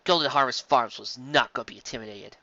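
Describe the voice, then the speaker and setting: Story reader, expressive, bright voice, animated, dull lo-fi, dry room. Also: medium pitch, fast, vocal fry, no room sound. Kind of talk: reading a pony fan story aloud.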